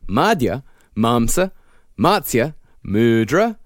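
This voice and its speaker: A man in a middle register reads his research notes on a podcast, his intonation rising and falling in a lively way. He explains steadily and clearly, recorded dry and close to the mic. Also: medium pitch, no room sound. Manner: measured, explanatory. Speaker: podcast host presenting the research. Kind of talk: reading prepared notes between banter.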